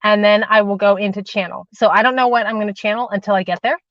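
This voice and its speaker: A woman's voice: mid-range voice, fairly even intonation, quick pace. A woman speaks into her phone from the cramped cabin of a parked car, hosting a spiritual livestream. She chats easily, calling her viewers darling and sweetheart, and she leads a meditation while still getting over a cold, with a cough drop in her mouth. The sound is dull and lo-fi and very dry, with no room echo. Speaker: spiritual channeler and host. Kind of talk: casual livestream chat and guided meditation.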